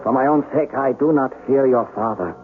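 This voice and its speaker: A man's voice: medium pitch, normal speed, slight background noise, lightly acted. Man playing a formal young samurai, muffled radio recording. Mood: ardent. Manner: formal, earnest. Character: proud, devoted young samurai master. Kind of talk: old-time radio drama acting.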